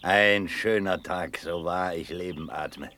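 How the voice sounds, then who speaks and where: An actor playing a gruff, hearty old Prussian cavalry colonel in a declamatory, commanding radio drama style. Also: male voice, deep voice, slow, bright, forceful tone, full character voice. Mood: hearty, expansive. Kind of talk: German classical verse radio drama acting.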